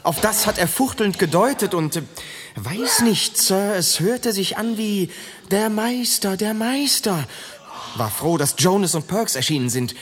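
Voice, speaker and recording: An actor in a German radio drama playing a deferential asylum attendant who reports respectfully and a little hesitantly. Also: male voice, high voice, expressive, a little slow. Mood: hesitant, dutiful. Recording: clear background noise with clicks.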